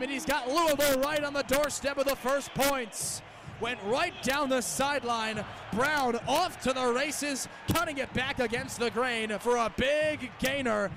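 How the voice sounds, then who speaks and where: A young man calling live football on the radio as a play-by-play announcer, in clipped phrases that build into drawn-out, excited calls. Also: extremely high pitch, noisy background. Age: young adult. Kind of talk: live radio sports commentary.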